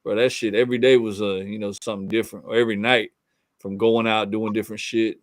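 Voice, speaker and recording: A man's voice: lowish voice, little pitch movement, fast, no room sound. Laid-back Southern man telling stories. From Fort Pierce, Florida. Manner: laid-back, profane storytelling with frequent restarts. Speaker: guest artist. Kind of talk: casual podcast chat.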